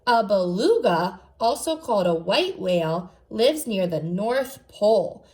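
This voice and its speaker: A woman's voice: medium pitch, very animated, a little slow, darkish tone. A woman teacher reading aloud to young children, enunciating carefully and adding short explanatory asides. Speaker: first grade teacher.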